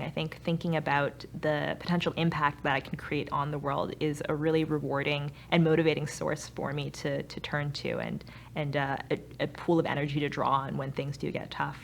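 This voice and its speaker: Young woman, Canadian accent, low pitch, earnest founder interview, explanatory delivery, faint background noise. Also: vocal fry. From Canada, grew up in Vancouver. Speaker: startup founder and chief executive. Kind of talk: interview answers about own company.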